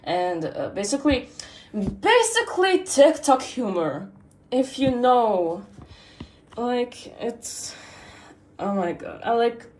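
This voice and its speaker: A teenage girl rambling on a livestream, full of pauses, fillers and restarts. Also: fairly high pitch, sing-song, darkish tone. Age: teens. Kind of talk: rambling casual stream chat.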